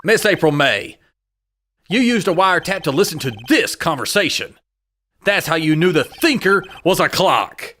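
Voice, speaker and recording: A man's voice: on the high side, expressive, moderate pace, bright, forceful tone. A man playing an earnest young defense lawyer. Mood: determined. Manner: determined, pressing, confident. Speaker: defense attorney. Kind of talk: fan dub of game dialogue. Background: game music.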